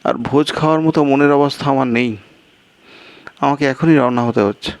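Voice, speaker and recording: An anxious, gullible man played by a male storyteller talks in a raised, strained-up pitch. He is easily flustered, stammering and exclaiming as he protests. It is an audio story, recorded close and dry. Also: steady tone, brisk, clean tone, dry recording, lightly acted. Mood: agitated. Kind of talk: Bengali audio story character dialogue.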